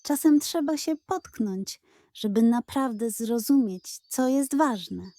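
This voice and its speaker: A plucky little boy rabbit from a children's storybook, played by a woman. The voice sits high, raised well up, and sounds wise and sure as he takes charge. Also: lively intonation, takes it slowly, dark tone, no echo. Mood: gentle, wise.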